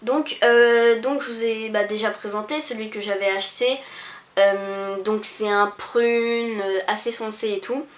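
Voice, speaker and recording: A young woman, chatting casually through a beauty haul, full of pauses and restarts. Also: on the high side, fairly even intonation. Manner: hesitant, many fillers and restarts. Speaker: beauty vlogger. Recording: echoey room.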